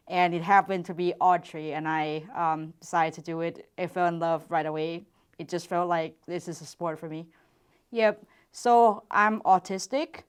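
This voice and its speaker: A woman in her twenties from Thailand, answering interview questions about her sport in an earnest, reflective way with hesitant pauses. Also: on the low side, clean tone. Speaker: archer and university PhD student.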